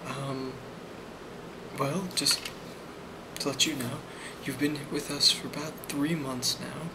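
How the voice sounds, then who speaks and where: A man speaking softly in an ASMR role play as a boss, hesitant and reassuring, with frequent pauses. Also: medium pitch, fairly even intonation, very noisy. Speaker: ASMR role-player playing a boss giving a review.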